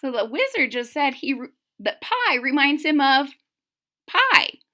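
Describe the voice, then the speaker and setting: A woman reads a children's story aloud with dramatic flair and chatty asides. Her voice is fairly high and bright, swinging widely in pitch as she takes her time. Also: a little slow. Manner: animated, dramatic, questioning listeners. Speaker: storybook reader. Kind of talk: expressive children's read-aloud with asides to listeners.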